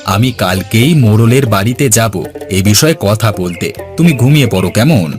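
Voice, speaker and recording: A man's voice: on the low side, lively intonation, normal speed, a little mellow, close to an everyday voice. A man playing an earnest, dutiful village farmer son in cartoon dialogue, with music behind. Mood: reassuring.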